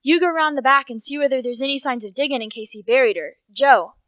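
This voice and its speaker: A woman's voice: high voice, fast talker, fairly bright tone, no echo, studio quality. A teenage female actor playing a bossy, businesslike rustic police officer in a radio play, folksy and commanding. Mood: bossy, searching.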